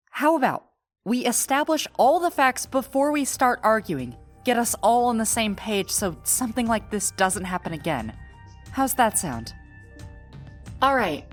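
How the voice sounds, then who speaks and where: Woman acting composed, logical young investigator, lively pitch. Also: lightly acted. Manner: measured, methodical explaining. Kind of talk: audio drama acting.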